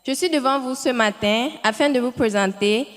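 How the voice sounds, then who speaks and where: A woman's voice: on the high side, moderate pace. A young woman, giving a confident, formal welcome speech as a student. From Nigeria. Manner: confident, declamatory. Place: hotel ceremony hall. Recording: echoey hall.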